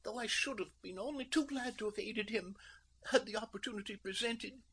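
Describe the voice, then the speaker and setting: A man reading an audiobook, voicing a proud, defiant young noblewoman in a high, breathy falsetto. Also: fairly quick, sharp tone, slight background noise. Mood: haughty. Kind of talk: audiobook narration.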